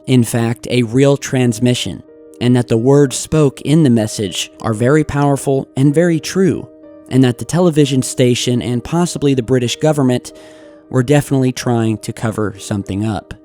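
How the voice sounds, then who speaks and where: An American man narrates a mystery as a scripted voice-over. His tone is mellow and relaxed, at an average male pitch, with faint music underneath. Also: moderate pace. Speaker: YouTube host narrator.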